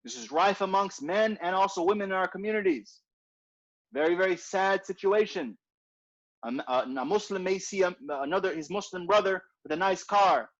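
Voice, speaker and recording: A man's voice: high voice, normal speed. A man teaching an informal religious class in a conversational way, repeating his points and often asking "right?". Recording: dull lo-fi recording.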